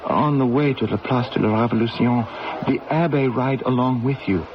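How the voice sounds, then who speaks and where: An actor playing a nervous, deferential prison guard in a radio drama, hesitant and respectful. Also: male voice, medium pitch, normal speed, close to an everyday voice. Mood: nervous, gentle. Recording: muffled old radio recording, faint noise.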